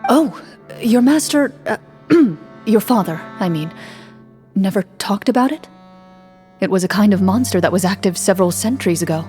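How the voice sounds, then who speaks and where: An actress playing a composed female champion duelist in a game, speaking calmly and asking measured questions. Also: medium pitch, faint music behind, close to an everyday voice. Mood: explaining. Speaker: game character. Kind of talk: video game dialogue acting.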